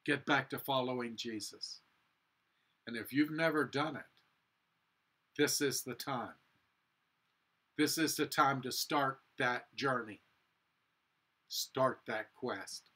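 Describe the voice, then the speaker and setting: Male preacher, 60s. Sermon and prayer, deliberate short phrases, unhurried pace, firm bright tone. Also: mid-range voice. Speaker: pastor. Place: indoor room with a cross, small chapel or office.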